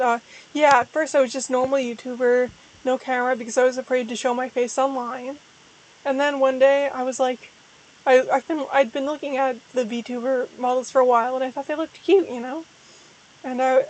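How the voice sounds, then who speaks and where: Hoarse young woman chatting on stream. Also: high-pitched, darkish tone, low-quality recording. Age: young adult. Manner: rambling, self-deprecating, frequent restarts. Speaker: VTuber streamer. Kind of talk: casual livestream chat.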